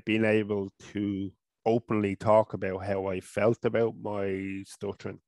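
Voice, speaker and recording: A man in his thirties with an Irish accent, talking in a casual interview and stuttering, halting and restarting often, into a headset mic in a small room at home. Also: fairly low pitch, no echo. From Ireland. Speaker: guest.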